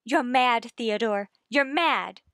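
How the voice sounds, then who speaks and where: A woman's voice: on the high side, slow, fairly bright tone, no room sound, clean studio sound. A woman playing a frightened, haunted genteel heroine in an old-time radio suspense play, with an earnest, dramatic delivery. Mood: horrified.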